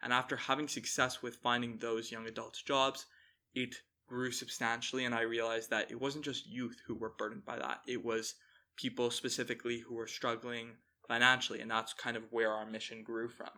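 A young man tells his story as an interview guest. His voice is bright and forceful at a middle pitch, and he phrases things in an earnest, deliberate way. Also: level tone. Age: young adult. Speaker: podcast guest, founder of a youth employment initiative.

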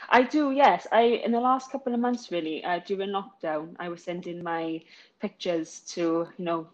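A woman's voice: on the low side, normal speed. Welsh woman, thirties, chatty and giggly, ums and likes, muffled lo-fi sound. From South Wales. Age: 30s. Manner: bubbly, giggly, lots of fillers. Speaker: interviewee, new model. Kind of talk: casual interview chat.

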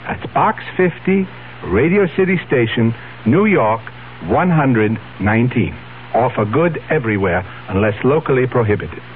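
A man's voice: medium pitch, lively intonation, normal speed. A man speaking as a radio producer, reading a scripted promotional announcement to listeners. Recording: muffled 1970s radio broadcast, faint hum.

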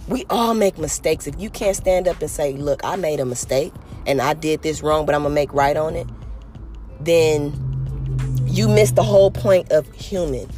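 Hoarse, earnest podcast host from California. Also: medium pitch, warm tone. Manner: earnest, rambling, many "you know" fillers. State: hoarse, a little raspy. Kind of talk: motivational podcast monologue.

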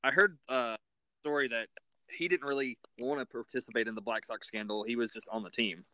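A man's voice: medium pitch, fairly quick, sharp tone, no echo, rough recording. A man hosting a casual sports interview as the interviewer.

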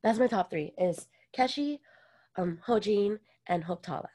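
A woman's voice: mid-range voice, animated, a little slow, dark tone. A young woman from Hawaii co-hosting a podcast in casual chat, chatty and full of "like" and laughs. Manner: chatty, says "like" a lot, laughs often. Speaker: podcast co-host.

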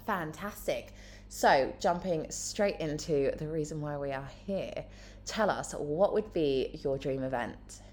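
A woman's voice: deep voice, measured pace, a little mellow, a little hiss or hum. A woman interviewing a guest in person, upbeat and encouraging with her questions. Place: indoor room, in person.

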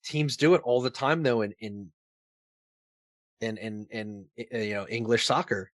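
A man's voice: fairly low pitch, a little slow, no echo. An American man co-hosting a casual sports podcast, opinionated and fond of putting rhetorical questions.

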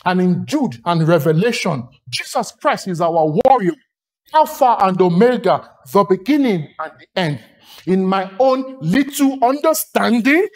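Lively Nigerian man preaching. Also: very high voice. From Lagos, Nigeria. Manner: emphatic, rhythmic repetition and long lists. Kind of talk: sermon.

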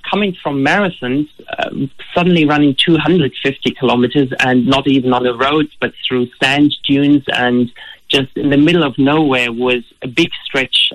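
A man's voice: on the high side, a bit bright, poor audio. A man from Germany with a German accent, a guest in an interview, answering earnestly and often repeating words as he thinks. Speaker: guest, ultra runner.

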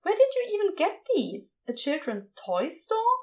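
Lady doing a prissy fussy angel man, high voice, all fluttery. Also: huge pitch swings, measured pace, a little hiss or hum, full character voice. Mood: exasperated. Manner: prim, exasperated. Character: fussy prim male angel, exasperated but fond. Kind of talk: podfic character voice.

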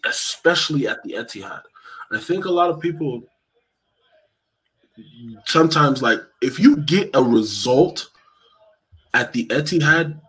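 Male panelist, mid-pitched, emphatic casual speech. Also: fairly bright tone, rough recording. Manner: emphatic, leans on "like". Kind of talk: casual football chat.

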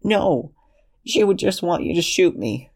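A man voicing a panicked, unhinged young man who pleads and whines in a high, strained voice. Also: sing-song, a little slow, gravelly, exaggerated voice. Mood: pleading.

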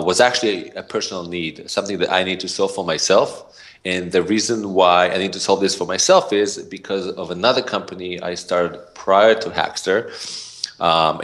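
A man's voice: low voice, a bit bright. A man from Israel with an Israeli accent, a podcast guest telling his story in a rambling way, full of fillers and restarts. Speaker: podcast guest, startup founder. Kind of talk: casual interview talk.

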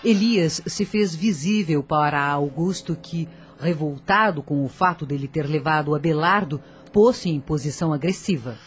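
A woman narrator of a scripted radio drama, husky and dramatic, telling the story with a moralizing turn. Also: deep voice, moderate pace, few pauses, soft background music.

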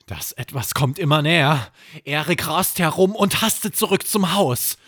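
A man narrating a scripted children's bedtime story as podcast host, with expressive, dramatic delivery. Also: fairly high pitch, moderate pace, husky, no room sound, clean studio sound.

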